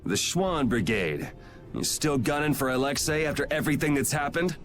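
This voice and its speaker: A man's voice: fairly high pitch, animated, moderate pace, a bit bright, soft background music. An actor playing a blunt, wry young swordsman who leads his group in a video game cutscene. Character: cocky young swordsman leader.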